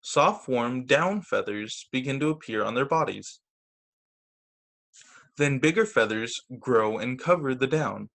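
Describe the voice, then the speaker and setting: A man teaching young children through a read-aloud storytime on a video call, with expressive, deliberate phrasing. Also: mid-range voice, gravelly. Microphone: video call microphone.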